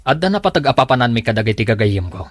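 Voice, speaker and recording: A man's voice: mid-range voice, very animated, fast talker, no echo, studio quality. A man acting an irritable, demanding young partner in a radio drama, curt and complaining. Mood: dismissive.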